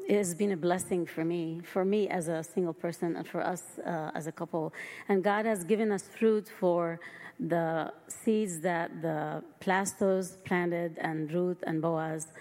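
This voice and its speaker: A woman's voice: on the low side, a little mellow. A middle-aged woman, a missionary guest, giving a personal testimony in an interview in a church hall. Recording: reverberant large hall.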